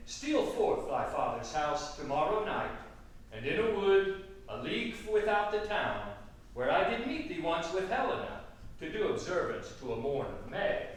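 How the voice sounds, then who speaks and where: A man playing an ardent young lover, declaiming Shakespearean verse emphatically in drawn-out phrases at a staged reading. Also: a little high. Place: echoey room at a theatre camp reading. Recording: cavernous echo, distant mic, faint noise.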